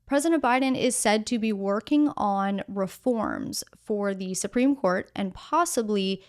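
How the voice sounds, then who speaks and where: A woman hosting a news podcast, reading scripted stories in a measured, explanatory way with casual asides. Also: mid-range voice, smooth.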